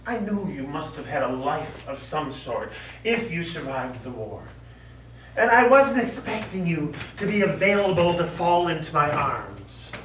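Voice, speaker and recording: A man's voice: fairly high pitch, big pitch swings, a little slow, fairly bright tone. A man playing a remorseful middle-aged former soldier and old lover in a stage play, earnest, hesitant and apologetic. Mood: wistful, earnest. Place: small theatre stage, recorded from afar. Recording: echoey stage, distant mic, faint noise.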